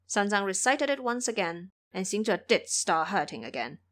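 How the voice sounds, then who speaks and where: A woman reads as the narrator of an audio drama. She tells a scripted fantasy story in a storyteller's style, with a medium-pitched voice. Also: big pitch swings, moderate pace, a bit bright.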